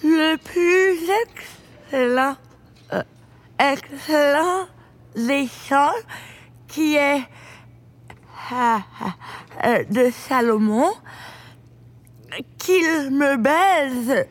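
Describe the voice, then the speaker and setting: An actress playing a nervous young woman who stumbles and halts with stage fright. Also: high voice. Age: young adult. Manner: nervous, halting.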